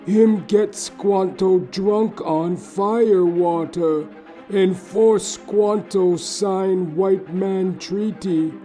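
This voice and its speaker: Casting: naive young victim, comic audio play. Very slow, childlike broken English, high mellow voice. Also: male voice, soft background music. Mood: plaintive. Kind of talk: comic audio detective drama.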